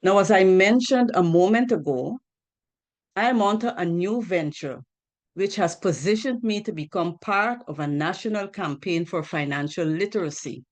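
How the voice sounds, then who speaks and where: A woman's voice: fairly low pitch, animated, moderate pace. A woman from Guyana giving a financial literacy presentation on a group video call, earnest, asking rhetorical questions and repeating key points.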